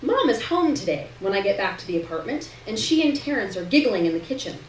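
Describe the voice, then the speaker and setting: A woman's voice: medium pitch, very animated, fast talker. Woman reading fiction in an echoey room. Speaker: story reader. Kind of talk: literary narration read aloud to an audience. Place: live reading in a roomy indoor venue. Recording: roomy distant live recording, noticeable hiss.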